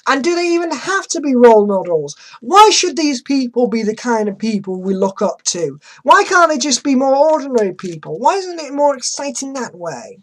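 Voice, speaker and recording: A woman's voice: high voice, big pitch swings, moderate pace, few pauses. A woman hosting a dramatic reading, commenting with sarcastic, exasperated emphasis. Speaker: host reading an article aloud with commentary.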